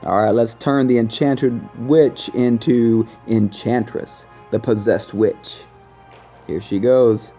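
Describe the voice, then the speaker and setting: A man's voice: mid-range voice, steady tone, slow pace, mellow, highs cut off, soft background music. A man doing casual, explanatory game commentary as the host of a gaming video.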